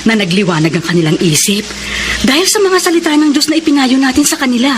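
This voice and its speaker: High-voiced, cheerful Filipino wife. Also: female voice, expressive, fast. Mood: glad. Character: cheerful, trusting, devout wife and church helper. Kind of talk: Filipino radio drama acting. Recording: noisy radio drama with background ambience.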